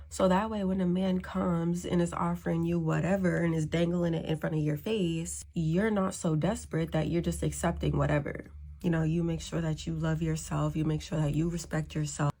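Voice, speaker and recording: A woman's voice: on the low side, dark tone. A woman content creator giving casual storytime advice to camera in slangy, conversational speech, acting out bits of dialogue. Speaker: social media creator.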